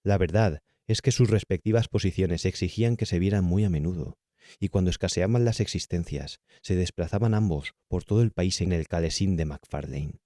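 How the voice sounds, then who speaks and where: A man with a Castilian Spanish accent narrating a horror audiobook in a measured, carefully enunciated way. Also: low voice, warm tone, no echo, clean studio sound. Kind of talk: scripted horror story narration.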